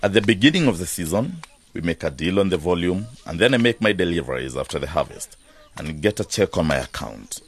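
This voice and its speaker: Man playing a deep-voiced, self-assured businessman farmer. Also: big pitch swings, a little fast, full character voice. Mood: matter-of-fact. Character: proud, hard-headed businessman farmer running a big peanut farm. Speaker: actor in a radio drama. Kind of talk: radio drama acting.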